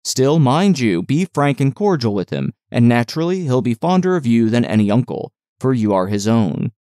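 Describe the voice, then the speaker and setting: Man as kindly woman servant, audiobook dialogue, soothing, coaxing, very varied pitch, dry sound. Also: sing-song, moderate pace, warm tone, no echo, natural voice. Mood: frank, gentle. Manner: soothing, explanatory.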